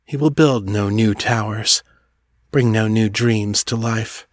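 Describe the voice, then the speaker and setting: A man voicing a brooding male dark lord who rants in anguish and breaks into sobs, in a storybook reading. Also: fairly low pitch, takes it slowly, no echo. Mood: reflective.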